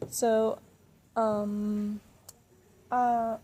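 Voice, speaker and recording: A woman's voice: fairly high pitch, level tone, very slow, warm tone, slight background noise. A woman playing an earnest young newcomer in a classroom role-play, speaking hesitantly with many fillers. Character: young woman newcomer, eager community volunteer.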